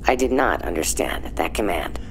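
A man voicing a shuttle's onboard computer, reading out results in clipped, flat phrases. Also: low-pitched, normal speed, vocal fry, slight background noise, pitched below the performer's own voice, heavily acted. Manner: clipped monotone readouts.

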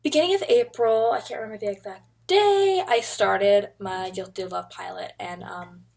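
A woman's voice: medium pitch, normal speed, gravelly, darkish tone, slightly rough. A young woman in her twenties chatting to a webcam in her bedroom, rambling and gesturing as she talks. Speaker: vlogger. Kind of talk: casual chat to camera. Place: bedroom, indoors. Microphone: webcam at desk.

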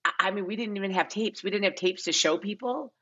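A woman's voice: mid-range voice, fast, a bit bright, breathy edge, dull audio. A middle-aged woman answering casually as an interview guest, emphatic and repeating words for emphasis, on a video call from home. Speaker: guest, casting director.

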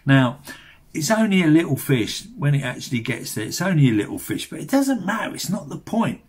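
A man's voice: on the high side, very animated, a little fast, a little mellow, husky. An English man in his sixties narrating a fishing vlog in a chatty, rambling, colloquial way. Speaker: fishing vlogger.

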